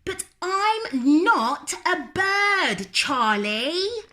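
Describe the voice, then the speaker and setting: A woman's voice: very high voice, big pitch swings, bright, forceful tone, pitched above the performer's own voice, heavily acted. A woman voicing a small, stubborn, imaginative little girl in a storybook, with dramatic, emphatic protests. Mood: stubborn, defiant. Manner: emphatic, drawn-out protesting delivery.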